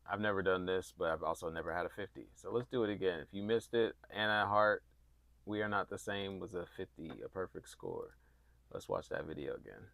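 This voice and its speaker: A man from North Carolina hosting a music review livestream, chatting casually in a rambling, halting way and repeating words as he goes. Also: sits low, creaky, a bit bright, no room sound. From North Carolina, United States.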